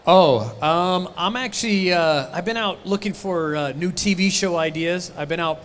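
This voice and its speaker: Booming middle-aged auctioneer, joking. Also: male voice, fairly bright tone. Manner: rapid auctioneer chanting, jokey banter. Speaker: auctioneer and reality TV guest. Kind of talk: convention stage interview. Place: convention stage. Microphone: handheld mic.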